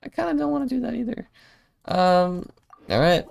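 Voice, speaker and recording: A woman's voice: a little high, animated, normal speed, gravelly, a little mellow. A woman giving casual, offhand game livestream commentary with wry, playful reactions. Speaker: streamer. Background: game music.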